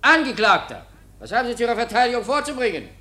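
An actor playing an imperious, sardonic examining judge in a German radio drama, curt and condescending. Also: male voice, very high-pitched, sing-song, fast, bright, forceful tone, faint music behind, full character voice. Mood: stern.

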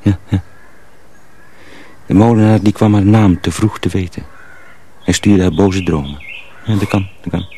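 A man playing a sly young miller's apprentice who poses as a simpleton, speaking confidingly and deliberately in a radio drama. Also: low-pitched, fairly even intonation, mellow, close to an everyday voice. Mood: secretive, urgent. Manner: deliberate, hesitant, confiding, repeats himself. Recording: faint background noise.

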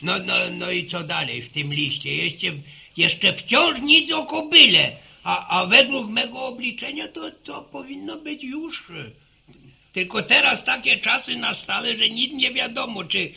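A man's voice: very high voice, animated, measured pace, sharp tone, full character voice. An actor playing a garrulous, muddled old village grandfather in a radio serial, stammering and repeating his words. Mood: fussing, curious. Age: older. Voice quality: creaky, gravelly. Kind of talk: Polish radio serial acting, rural family drama.

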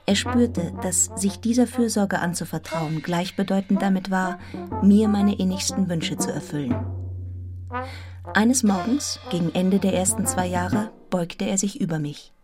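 An actress playing a devoted, composed young wife in a radio drama, speaking in a measured, intimate, reflective way. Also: female voice, medium pitch, over music, natural voice. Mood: contented, reflective. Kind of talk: literary radio drama, first-person narration and dialogue.

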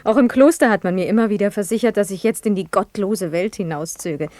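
Spirited young gentlewoman for period radio drama played by a woman, mid-pitched, eager, confident, innocent of the world. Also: a little fast, dry recording, lightly acted. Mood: eager, carefree. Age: young adult. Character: spirited, naive young Spanish gentlewoman craving adventure. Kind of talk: 1970s German radio play acting.

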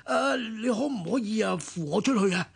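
A man's voice: sits high, brisk, vocal fry, slightly rough, exaggerated voice. An actor playing a conniving male robber who feigns injury in a radio drama, with a Hong Kong Cantonese accent. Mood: feigned helplessness. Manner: hesitant groaning that turns to taunting.

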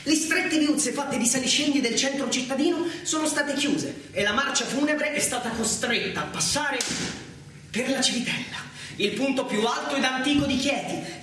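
A man giving scripted theatrical narration with a dramatic, deliberate delivery. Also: very high voice, pushed and bright. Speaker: actor and narrator. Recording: echoey, noisy, distant stage recording.

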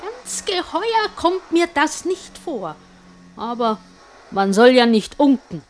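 Lively, gossipy charwoman. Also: high-pitched, takes it slowly, slight background noise, full character voice. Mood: gossipy, skeptical. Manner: gossipy, opinionated. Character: chatty, nosy charwoman, skeptical and gossipy. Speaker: actress in a radio play. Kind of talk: German radio play monologue.

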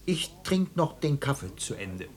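A middle-aged man playing a helpless, fussy father in a dark comic radio play, hesitant and stammering. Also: medium pitch, sing-song, measured pace. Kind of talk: German radio play, dark comedy acting.

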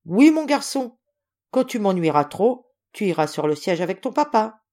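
A woman voicing a gruff, hot-tempered but kindly old general in a storybook, blustering and imperious. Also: medium pitch, big pitch swings, moderate pace, warm tone, voice pushed up, close to an everyday voice. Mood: softened, kindly. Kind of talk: acted storybook reading.

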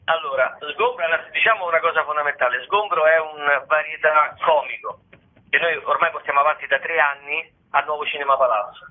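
A man's voice: a little high, brisk. A man, a comedian guest in a casual interview, rambling and repeating his phrases. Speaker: comedian, guest promoting a variety show. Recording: tinny phone-like radio sound.

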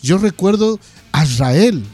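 A man chatting casually as a programme host, haltingly and often repeating words. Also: high voice, lively intonation, a little mellow, soft background music. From Ecuador. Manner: hesitant, repeats words as they go. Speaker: host of a heavy metal radio programme. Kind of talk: casual interview chat.

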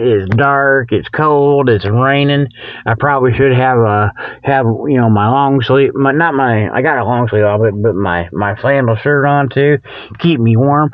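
A man's voice: medium pitch, no echo, phone-quality sound. A man hosting a comic show solo, rambling in a folksy, colloquial way and often repeating himself as he thinks aloud. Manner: folksy, colloquial, with mock exasperation. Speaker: host of a comic Bigfoot show.

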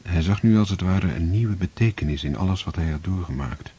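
A male narrator delivers measured literary narration in a very low, mellow voice. His tone is strongly raspy. The recording sounds dull and lo-fi. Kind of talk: scripted narration.

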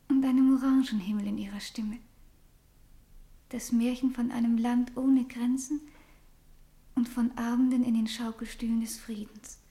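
A dreamy young woman in a radio drama. Her voice is high yet soft-edged and dark in tone, with a hushed, breathless intensity and a fearful temper. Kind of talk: German radio play acting.

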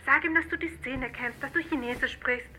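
A woman playing a pushy young sister on the phone in a radio drama, brisk and persuasive. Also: high-pitched, bright, forceful tone, slight background noise. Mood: urging. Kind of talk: naturalistic radio drama acting.

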